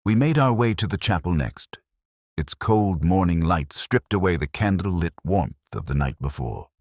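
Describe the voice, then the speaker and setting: A man narrating a scripted first-person detective story in a measured, solemn, literary manner. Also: low-pitched, sing-song, a little mellow, no echo, clean studio sound.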